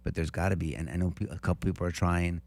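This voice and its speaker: Deep-voiced male co-host, emphatic and repetitive, political talk. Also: fairly even intonation, fast talker, vocal fry, darkish tone. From Lebanese heritage. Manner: emphatic, repeats himself when giving advice. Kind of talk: political talk show chat.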